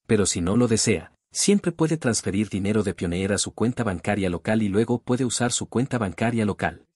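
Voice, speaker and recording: A man's voice: on the low side, a little mellow, breathy edge, dry recording, studio quality. A man narrating a screen-recording tutorial in a casual, repetitive way, often calling the viewers "guys". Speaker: tutorial host.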